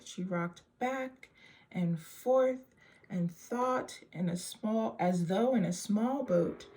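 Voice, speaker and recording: A woman reading a rhyming children's story aloud in a measured, verse-following delivery. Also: mid-range voice, a little mellow. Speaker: storybook reader.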